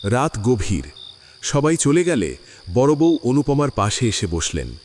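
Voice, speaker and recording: A man narrating a classic story in an audio drama, with expressive storytelling. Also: medium pitch, unhurried, a little hiss or hum.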